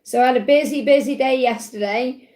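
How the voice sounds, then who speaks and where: A woman with a slightly croaky voice, giving gentle spiritual advice on a live stream and often checking in with "okay?". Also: high-pitched, a little fast. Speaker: spiritual reader answering live chat questions. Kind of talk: live-stream Q&A, casual and reassuring. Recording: echoey room.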